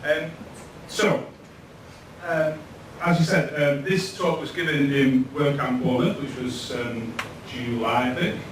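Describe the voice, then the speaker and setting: A man in his thirties with a Lancashire accent, giving a relaxed, chatty tech talk to an audience, pausing often with ums. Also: medium pitch. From Lancashire, England. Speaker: conference speaker. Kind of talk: tech meetup presentation. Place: event room with audience. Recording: echoey room, distant mic, noisy.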